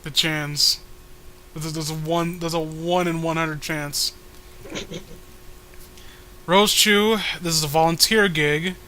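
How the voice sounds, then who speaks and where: A man's voice: fairly high pitch, steady tone, slow pace, pauses often, pushed and bright, slight background noise, low-quality recording. A man co-hosting a comic reading, riffing casually in a sardonic, profane way.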